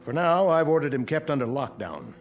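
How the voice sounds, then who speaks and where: Casting: skeptical general, curt command manner, wide-ranging delivery, radio drama with clear music behind. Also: male voice, fairly high pitch, very animated, brisk, lo-fi sound. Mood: stern, suspicious. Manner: curt, authoritative. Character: stern, skeptical American Air Force general.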